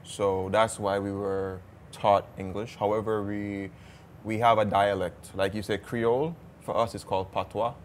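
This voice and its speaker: A Jamaican man chatting casually as a travel vlogger, relaxed and talkative with some stammered restarts. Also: lowish voice, measured pace, slight background noise. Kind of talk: casual travel vlog chat.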